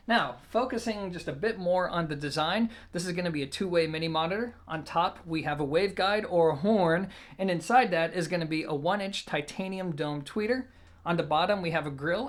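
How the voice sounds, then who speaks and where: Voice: mid-range voice, fairly bright tone. A reviewer explaining audio gear in a conversational, methodical way with informal asides. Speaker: product reviewer, show host.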